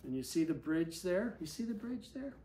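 An older man in about his seventies reads a storybook aloud to a small child, pausing for gentle asides and questions. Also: high voice, sing-song, roomy sound, a little hiss or hum, low-quality recording. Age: older, about 70s. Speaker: story reader. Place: living room armchair, indoors. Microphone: camera a few feet away.

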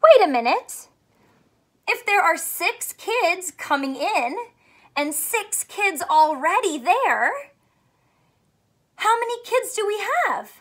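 A young woman teacher doing a read-aloud math lesson for children, animated and full of questions, speaking clearly for kids. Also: extremely high pitch, slow pace, long pauses. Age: young adult. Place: small furnished room at home, indoors.

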